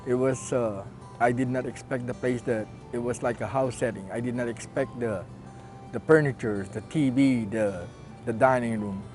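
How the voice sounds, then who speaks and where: A man's voice: medium pitch, moderate pace, a little mellow, soft background music. Hesitant man from the Philippines. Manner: hesitant, searching for words. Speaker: program client. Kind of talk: testimonial interview.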